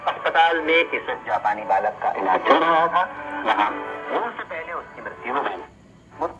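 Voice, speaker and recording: A man's voice: mid-range voice, expressive, moderate pace, over loud music. A man reading formal scripted news as a radio newsreader, heard through a radio. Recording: dull, noisy shortwave radio reception.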